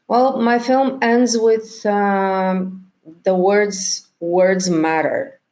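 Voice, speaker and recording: A middle-aged woman whose first language is Bulgarian, a documentary director answering interview questions slowly and thoughtfully, often pausing to search for words. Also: medium pitch, fairly even intonation, a little mellow, low-quality recording.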